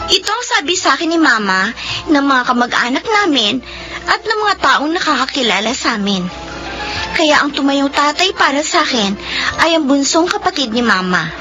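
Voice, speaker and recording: A woman playing an eager schoolgirl daughter who longs for her dead father, in expressive Filipino radio drama style. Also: sits high, normal speed, faint music behind, full character voice. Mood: reflective narrating. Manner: expressive, girlish. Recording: muffled, noisy radio drama recording.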